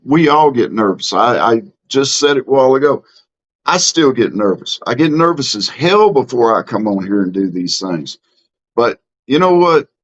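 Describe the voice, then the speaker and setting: A middle-aged man from Mississippi talks in a Southern accent, easy and plainspoken, as he reads out comments on his live stream. He drawls and fills his pauses with ums and false starts. His voice sits at an ordinary pitch, and the stream audio is dull and lo-fi. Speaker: livestream host. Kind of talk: casual live-stream chat reading comments.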